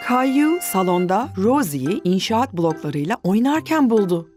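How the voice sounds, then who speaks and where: A woman narrating a children's cartoon as a gentle storybook narrator, telling the story clearly. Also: mid-range voice, big pitch swings, unhurried, faint music behind. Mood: gentle storytelling.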